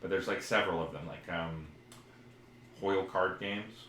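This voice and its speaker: A man's voice: deep voice, moderate pace, a bit bright. A man co-hosting a casual podcast about retro games, talking in a rambling way as he searches for details. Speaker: podcast co-host. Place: indoor room, recorded live on stream. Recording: roomy echo, clear background noise.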